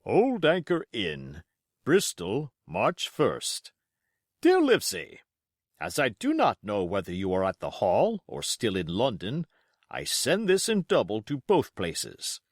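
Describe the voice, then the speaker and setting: Man as storybook country squire, pompous, excitable, blustering, extremely animated pitch, dry sound. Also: slow, no room sound, heavily acted. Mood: boastful, enthusiastic. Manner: emphatic, blustering, theatrical. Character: pompous, excitable, gullible English country squire writing a letter.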